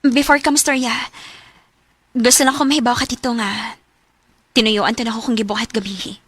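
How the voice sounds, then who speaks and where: A woman with a Cebuano Filipino accent acting a lovestruck, bold young woman in a radio romance drama, earnest and expressive. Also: a little high, moderate pace, breathy edge, no echo. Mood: earnest, pleading.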